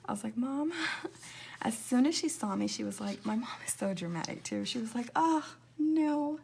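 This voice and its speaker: A woman in her twenties chatting casually in a rambling, confiding way, laughing often and slipping into soft whispers as she talks through decorating her planner. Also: a little high, animated, moderate pace, vocal fry, slight background noise. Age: 20s. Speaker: planner vlogger.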